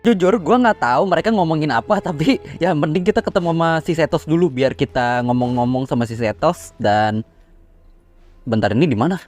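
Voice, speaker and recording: A man's voice: fairly high pitch, expressive, fast talker, a little mellow, soft background music. An Indonesian man giving casual, joking commentary as he plays a game. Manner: informal, joking. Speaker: gaming streamer.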